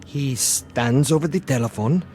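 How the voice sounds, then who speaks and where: A man's voice: medium pitch, measured pace, music in the background, lightly acted. An actor playing an eager, anxious young henchman in a vintage television drama, asking terse, urgent questions. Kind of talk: 1960s television drama acting.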